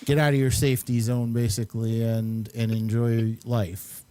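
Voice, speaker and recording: A man's voice: mid-range voice, little pitch movement, moderate pace, warm tone. A man hosting a casual chat and reviewing movies in a rambling, hesitant way. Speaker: host and amateur filmmaker.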